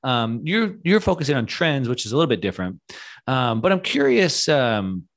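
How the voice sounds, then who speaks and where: Guy interviewer, average pitch, halting thoughtful questions, close studio mic. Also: sing-song. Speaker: interview host. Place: small studio, indoors. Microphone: studio mic on a boom arm.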